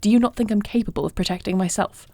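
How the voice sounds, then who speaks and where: Woman acting proud dragon princess, low creaky voice. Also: fairly even intonation, moderate pace, darkish tone, no room sound. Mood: angry, defiant. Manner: formal, regal phrasing. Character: dragon-shifter princess, newly wed, dutiful, proud, headstrong warrior. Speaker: voice actor in an audio roleplay. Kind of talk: scripted audio roleplay acting, one side of a dialogue.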